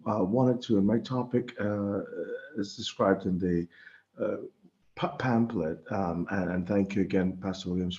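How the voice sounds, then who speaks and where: A middle-aged man from the Bahamas giving an informal religious talk online, speaking haltingly and often repeating words as he goes. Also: fairly low pitch, a little mellow, lo-fi sound. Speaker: guest presenter. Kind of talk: informal religious lecture over an online meeting.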